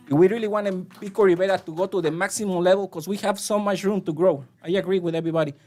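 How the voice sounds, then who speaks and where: A man with a Spanish accent, a native Spanish speaker, speaking earnestly and haltingly during public comment at a city council meeting. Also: sits high, fairly even intonation, a little mellow. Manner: earnest, halting, with frequent restarts. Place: council chamber.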